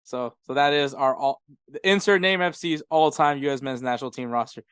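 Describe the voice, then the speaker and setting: A man's voice: a little high, fairly quick, fairly bright tone, clear, resonant tone, no echo. A man co-hosting a sports podcast in casual soccer chat, with an informal, jokey way of talking.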